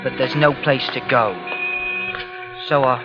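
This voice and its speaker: An actor playing a bitter, defiant young ex-convict with a London accent, sullen and sardonic, in 1940s radio drama style. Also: male voice, medium pitch, steady tone, a little slow, lightly acted. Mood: bitter brooding. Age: young adult. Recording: muffled old radio recording, loud music.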